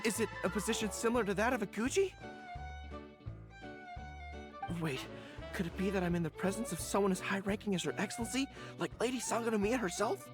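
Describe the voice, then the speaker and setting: A man's voice: high-pitched, quick pace, close to an everyday voice. A man voicing an earnest, formal young general who gets easily flustered, in a video game. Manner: formal military phrasing with flustered stammers. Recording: background music under voice.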